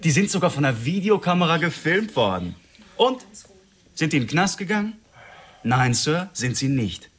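A cocky young killer guy, husky voice, taunting and bossy, radio drama. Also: fairly high pitch, big pitch swings, moderate pace. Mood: lecturing. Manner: taunting, casually commanding. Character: cocky, menacing young male killer holding hostages. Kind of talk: German radio drama acting.

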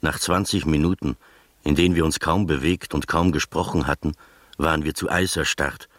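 Radio drama narrator: earnest, slightly anxious gentleman, low-pitched, articulate, dry sound. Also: steady tone, moderate pace, no room sound. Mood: measured storytelling. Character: earnest, anxious male friend narrating a detective tale.

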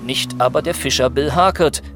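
A man's voice: mid-range voice, normal speed, natural voice. A man narrating a scripted, matter-of-fact recap of a detective radio play. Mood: factual, suspenseful. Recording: loud music under voice.

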